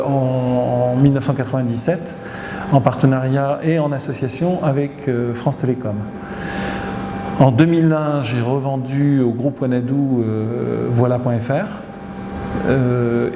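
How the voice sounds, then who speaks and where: A middle-aged man from Tunisia, a company CEO answering interview questions, who pauses often and hesitates mid-sentence. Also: mid-range voice. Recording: echoey hall with noise behind.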